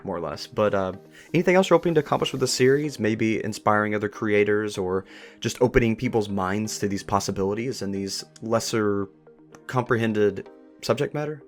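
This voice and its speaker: A man's voice: lowish voice, fairly quick, faint music behind. A man hosting a thoughtful interview conversation, speaking in a reflective, wordy way as he talks ideas through. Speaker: podcast host interviewing creators.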